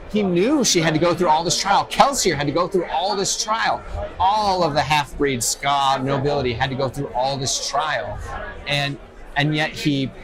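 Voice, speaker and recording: A man co-hosting a casual fan discussion, speaking in an opinionated, enthusiastic way, recorded at a noisy convention. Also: fairly high pitch. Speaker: podcast co-host. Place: loud festival venue. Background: loud music.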